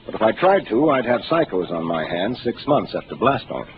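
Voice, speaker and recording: An actor playing a calm, seasoned starship captain who speaks in a measured, authoritative way, in a 1950s radio drama. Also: male voice, on the low side. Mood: explaining. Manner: measured, authoritative, explaining patiently. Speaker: ship captain. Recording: hissy narrow 1950s radio broadcast.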